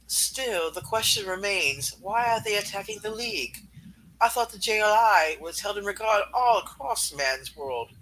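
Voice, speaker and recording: Casting: commanding, earnest hero read by a man, high and forceful, faint noise. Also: animated, moderate pace, poor audio. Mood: pondering. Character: earnest, commanding lasso-wielding superhero. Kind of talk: comic book dramatic reading.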